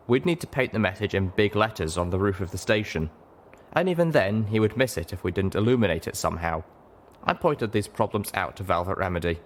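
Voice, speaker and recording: A man's voice: on the low side, slight background noise. A man reading fiction aloud as scripted audiobook narration. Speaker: reader, narrator.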